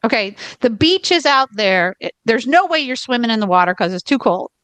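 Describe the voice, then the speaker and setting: Chatty Southern woman, higher voice. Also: animated, a bit bright, clean tone, no echo. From United States. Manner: rambling, keeps pausing on "okay". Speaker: podcast co-host. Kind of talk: casual storytelling chat.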